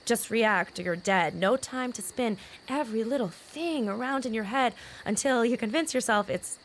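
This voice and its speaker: A woman's voice: fairly high pitch, normal speed, slight background noise, natural voice, low-quality recording. A woman playing a frank, troubled teenage girl in a naturalistic audio drama, speaking candidly and casually. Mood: troubled, confiding. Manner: candid, colloquial, mildly profane. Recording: faint outdoor night ambience with crickets.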